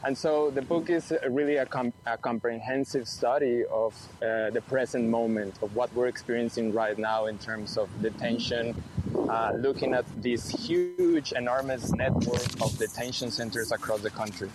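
A man's voice: medium pitch, fairly even intonation, normal speed, noisy background. A man from Mexico hosting a live radio broadcast from outdoors, speaking thoughtfully with frequent restarts. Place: outdoors near a detention center, street traffic.

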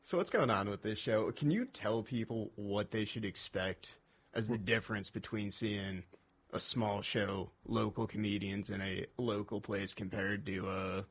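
A man's voice: on the low side, a little slow, husky. Dry-humored male interview host. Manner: dry, self-deprecating joking. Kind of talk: casual interview chat.

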